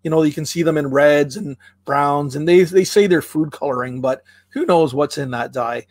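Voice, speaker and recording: A man from Canada explaining tree care in a casual chat, relaxed and full of fillers and restarts, with playful comparisons. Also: fairly high pitch, moderate pace, dry recording, studio quality. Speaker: tree care expert guest.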